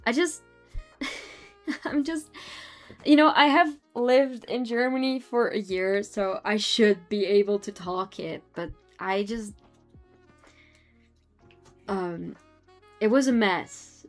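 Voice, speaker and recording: A woman's voice: medium pitch, takes it slowly, long pauses, faint music behind. Giggly Dutch woman streamer. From Netherlands. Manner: chatty, giggly, trails off mid-sentence. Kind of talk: casual chat with chat while drawing.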